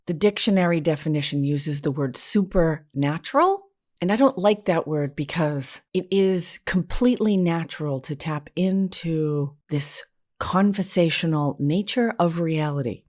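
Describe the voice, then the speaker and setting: Low-pitched American woman, candid podcast host. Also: measured pace, darkish tone. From New England, United States. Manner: candid, self-deprecating, informal. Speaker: podcast host, therapist and spiritual teacher. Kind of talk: conversational solo podcast monologue.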